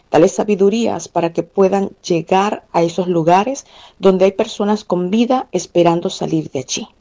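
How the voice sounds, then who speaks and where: A woman with a Venezuelan accent giving a solemn, measured, earnest spoken prayer. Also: lowish voice, vocal fry, dark tone. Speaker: prayer segment presenter.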